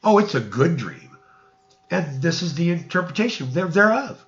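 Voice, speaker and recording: A man's voice: fairly high pitch, big pitch swings, moderate pace, muffled recording, voice pushed up. A man voicing a grasping, brazen innkeeper and dream interpreter in a storybook, declaiming insistently in archaic speech. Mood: smugly insistent.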